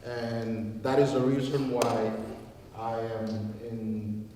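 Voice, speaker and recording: Man, dark mellow tone, informal event remarks, far from mic with faint noise. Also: medium pitch, level tone, takes it slowly. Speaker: government construction office employee introducing himself. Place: large indoor hall at a community gathering. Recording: echoey hall, distant mic, faint noise.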